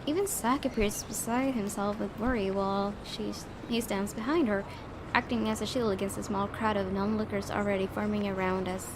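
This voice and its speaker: A woman's voice: mid-range voice, fairly even intonation, normal speed. A woman hosting a gaming video, reading a visual novel aloud and commenting casually. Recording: clearly noisy home recording.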